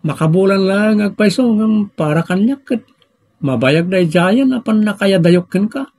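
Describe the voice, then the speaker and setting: Man playing a high-voiced, husky, exasperated village husband. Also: expressive, normal speed, a little mellow, dry recording, studio quality. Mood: concerned, chiding. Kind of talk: Ilocano radio-style comedy drama acting.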